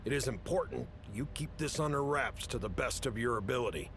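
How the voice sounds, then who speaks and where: A man acts out an authoritative chief in an audio drama, mid-pitched and businesslike. He lays out orders in a measured, matter-of-fact way. There is a faint rumble behind the voice. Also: fairly bright tone, close to an everyday voice. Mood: stern. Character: gruff, businesslike male chief briefing agents on a mission. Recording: rumbly background noise.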